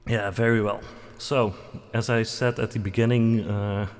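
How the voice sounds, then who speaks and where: A man's voice: on the low side, steady tone, a little slow. A young man with a Dutch accent, a native Dutch speaker, casually introducing himself on a livestream, rambling with lots of fillers and self-deprecating jokes. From Netherlands. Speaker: VTuber streamer.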